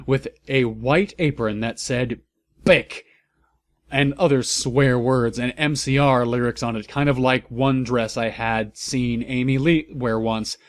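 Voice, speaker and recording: A man giving a comedic, mock-serious dramatic reading as a narrator, with theatrical, deliberate emphasis. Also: mid-range voice, steady tone, dry recording.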